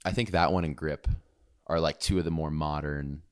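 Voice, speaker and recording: A man's voice: rumbling low, fairly even intonation, normal speed, clear, resonant tone, no room sound, studio quality. A young man, a music artist and producer, chatting casually in an interview in a laid-back way, peppering his talk with "like" and "dude".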